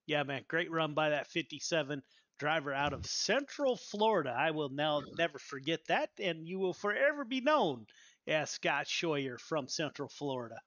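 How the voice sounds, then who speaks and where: A man's voice: on the high side, animated, normal speed, fairly bright tone, dry recording, muffled recording. A man in his fifties hosting a sim racing broadcast, chatty, folksy and enthusiastic. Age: 50s. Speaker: race broadcast host. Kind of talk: sim racing commentary and interview.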